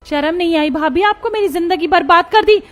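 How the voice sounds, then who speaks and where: A woman's voice: very high-pitched, fairly quick. A woman voicing an accusing young bride, the daughter of the house, in a dramatized family story.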